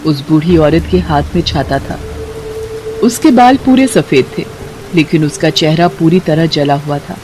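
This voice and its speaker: A woman narrator telling a scripted story in a dramatic storytelling style. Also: lowish voice.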